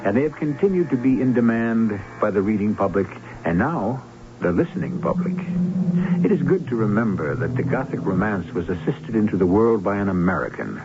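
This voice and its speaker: A man hosting a radio drama, giving a scripted introduction in a formal, measured storyteller's way. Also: fairly low pitch, sing-song, slight background noise. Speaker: host. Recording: dull vintage radio recording with music.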